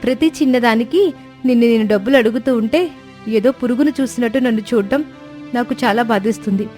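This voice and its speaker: A woman's voice: fairly high pitch, a little fast, darkish tone, natural voice. A woman voicing an outspoken, aggrieved sparrow wife in a cartoon moral story, speaking in a plaintive, reproachful way. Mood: reproachful, hurt. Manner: plaintive, reproachful, long emotional speeches. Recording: music bed behind voice.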